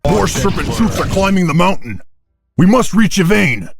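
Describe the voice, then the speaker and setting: An actor playing a wary, sardonic wolf clan companion in a video game cutscene. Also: male voice, high voice, lively intonation, normal speed, gravelly, over music. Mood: urgent.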